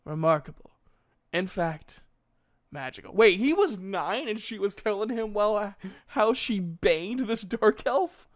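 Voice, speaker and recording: A man's voice: sits high, lively intonation, measured pace, few pauses. A man reading a story as an amateur and breaking in with blunt, irreverent, exasperated asides. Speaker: audiobook reader and commentator.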